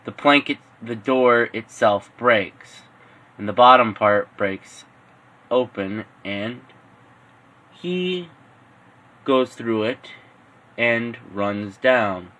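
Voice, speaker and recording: A male storyteller improvising fan fiction. He takes it slowly and haltingly, rephrasing as he goes, with a bright, forceful voice at an average pitch. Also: rough recording.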